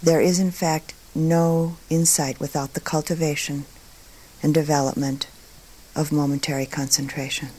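A woman teaching meditation, speaking calmly and deliberately in short phrases with long pauses between them. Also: sits low, slight background noise. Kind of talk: guided meditation talk.

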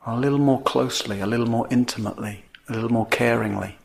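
A man teaching a guided meditation in measured phrases, with long pauses between them. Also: medium pitch, steady tone, creaky.

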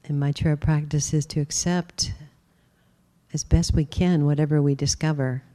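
A woman teaching a guided meditation, speaking gently and unhurriedly with long pauses. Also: deep voice, steady tone.

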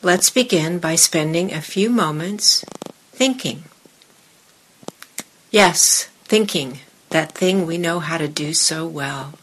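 A woman meditation teacher speaking to a group in measured, deliberate short phrases with pauses, her voice husky and breathy. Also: low voice, slight background noise. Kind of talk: guided meditation and dharma talk to a group in the room.

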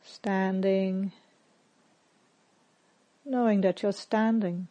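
A woman meditation teacher leading a guided meditation in short, measured phrases with long pauses. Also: mid-range voice, steady tone, mellow.

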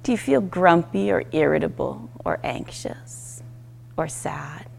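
A woman teaching a guided meditation, speaking in gentle, unhurried instruction with short, spaced phrases. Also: sits low, lively intonation, vocal fry, in a whisper.

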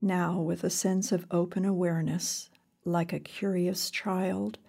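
A woman leading a guided meditation in a calm, gentle, unhurried way with long pauses, her voice soft and breathy. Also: lowish voice. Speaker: meditation teacher. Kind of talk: guided body scan meditation.

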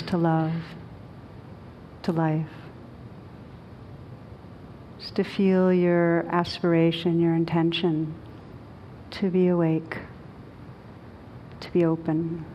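Woman, meditation teacher, gentle and unhurried, soft even intonation, dark mellow tone, long pauses. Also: lowish voice, slight background noise. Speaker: meditation teacher leading a guided meditation. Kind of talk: guided meditation.

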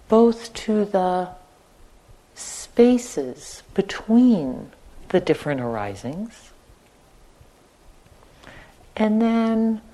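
A woman leads a guided meditation in a relaxed, mellow voice. She speaks very slowly, setting out short phrases with long pauses between them. Her pitch sits a little low, and her phrasing stays gentle and unhurried. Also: poor audio. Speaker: meditation teacher. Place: meditation retreat center.

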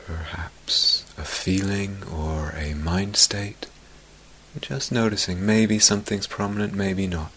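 A man with a low-pitched voice leads a guided meditation at a very unhurried pace. His delivery is gentle and soft-spoken, with long pauses and endings that fade toward a whisper, yet the tone stays clear-edged and bright. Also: steady tone, poor audio. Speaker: meditation teacher.

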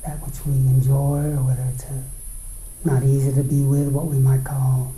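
A man teaching guided meditation, speaking in short, unhurried phrases with pauses between them. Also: medium pitch, monotone, warm tone. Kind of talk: guided meditation, dharma talk. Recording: roomy, echoing space.

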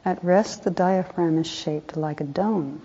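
A woman meditation teacher leading a guided meditation in a soft, calm voice, unhurried, with pauses between short phrases. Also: lowish voice, husky. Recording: dull, muffled lo-fi recording.

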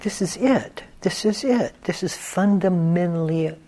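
Man, meditation teacher, slightly high voice, deliberate short phrases, long pauses, hushed endings, repeated key words. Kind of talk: guided meditation, spoken slowly with long pauses.

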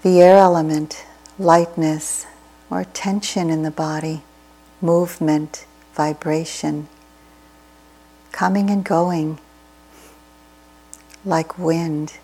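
A woman leads a guided meditation in a low, very even voice. She speaks very slowly and deliberately, leaving space between short, soothing phrases as she gives gentle instruction. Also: husky, poor audio. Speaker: meditation teacher. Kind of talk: guided meditation, slow and deliberate with long pauses.

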